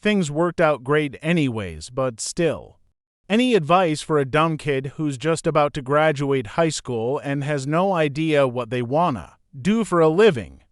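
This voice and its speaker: A man narrates a string of forum posts in a steady, even read. His voice is smooth and a little higher than most men's, and the recording is dry and close, with no room sound. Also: unhurried, no room sound. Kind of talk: scripted narration.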